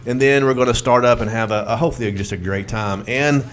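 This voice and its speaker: A man's voice: medium pitch, quick pace. A man from Alabama hosting a casual chat show, joking and self-deprecating. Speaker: host.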